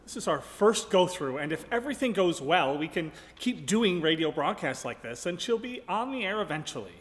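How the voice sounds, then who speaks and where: An actor playing a guilt-ridden, exasperated radio director in a live stage radio play. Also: male voice, high voice, sing-song, a little fast. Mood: defensive, earnest. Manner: emphatic, exasperated, theatrical. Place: large ballroom, live before an audience. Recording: echoey hall, live stage performance.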